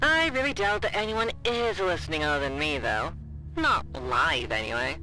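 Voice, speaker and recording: Casting: a bored, awkward radio operator, audio drama, casual rambling delivery, lively pitch swings, music underneath. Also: female voice, normal speed, low-quality recording. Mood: awkward, polite. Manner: hesitant, casual, rambling with fillers. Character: bored, chatty, awkward office radio operator, eager for gossip. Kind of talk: naturalistic audio drama dialogue.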